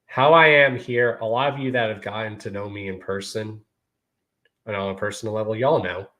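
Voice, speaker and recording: A man's voice: lowish voice, fairly even intonation, a little fast, fairly bright tone. A man in his thirties hosting his own podcast, talking casually to viewers and filling his speech with "you know" and "y'all.". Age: 30s. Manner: laid-back, repeats "you know" and "y'all". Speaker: podcast host.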